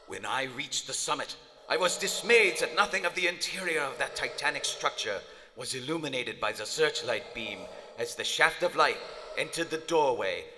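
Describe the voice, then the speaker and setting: A man playing a proud German WWI submarine commander slipping into madness, narrating formally and deliberately in a live radio drama. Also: mid-range voice, animated, bright, forceful tone, slight background noise. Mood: grim, brooding. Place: large theater stage. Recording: live theater stage, long reverb, music underneath.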